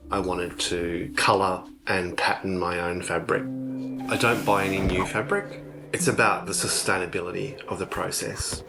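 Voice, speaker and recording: Man, low voice, reflective, documentary interview, thoughtful phrasing, music behind. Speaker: textile maker.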